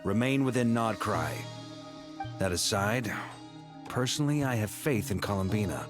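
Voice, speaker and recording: An actor playing a confident, encouraging commander in a video game, brisk and authoritative, with music underneath. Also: male voice, lowish voice, expressive, lightly acted. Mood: earnest. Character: confident, encouraging young male expedition commander.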